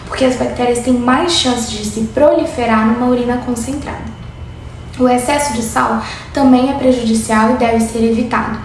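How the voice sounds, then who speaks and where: A woman in her twenties with a Brazilian Portuguese accent, a doctor calmly explaining medical topics in an interview. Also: on the high side. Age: 20s. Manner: steady, clinical, explanatory. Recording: very echoey room with faint noise.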